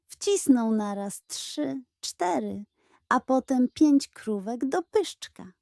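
A woman tells a children's bedtime story in a gentle, expressive voice. She speaks slowly, her tone is mellow and pitched a little high, and the recording is dry and close. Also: no echo.

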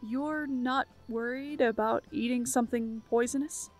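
Young captive islander, audio drama female actor: curt, guarded, high voice over faint music. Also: fairly even intonation, a little slow, natural voice. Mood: challenging. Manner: curt, challenging.

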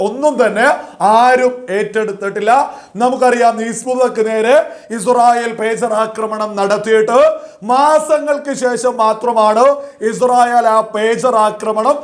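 A man's voice: high-pitched, fairly even intonation, a little slow. A man presenting news commentary to viewers in an emphatic, repetitive way, stretching out key words.

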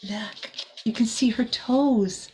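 A middle-aged woman reading a children's storybook aloud as a teacher, expressive and deliberate, with small asides to the listeners. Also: fairly high pitch, faint music behind, poor audio. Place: small room indoors.

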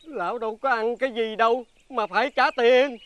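A man's voice: very high voice, steady tone, normal speed, lo-fi sound, background noise. A man playing a poor, pitiful old beggar in a folk-tale drama, pleading plaintively. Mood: protesting. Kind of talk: theatrical folk-tale TV acting.

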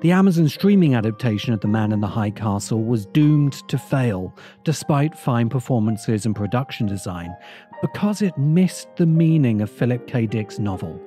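A man narrating a scripted video essay in a measured manner, pausing for emphasis. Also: medium pitch, expressive, mellow. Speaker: host and narrator. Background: music.

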